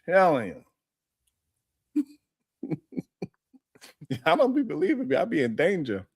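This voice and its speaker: A man's voice: a little high, huge pitch swings, a little slow, slightly rough, no room sound, clean studio sound. A man hosting a live stream in casual chat, telling loose slangy stories and laughing often.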